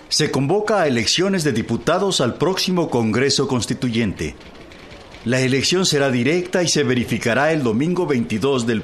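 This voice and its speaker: A man with a Mexican accent formally reading old newspaper reports in a radio docudrama. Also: mid-range voice. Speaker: newspaper reader. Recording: clear background noise.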